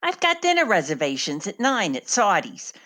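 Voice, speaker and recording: Sharp, brusque stage manager. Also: female voice, mid-range voice, huge pitch swings, moderate pace. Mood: wry, irritated. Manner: brusque, salty, wry. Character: cynical, bossy, impatient female stage manager. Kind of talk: radio play acting.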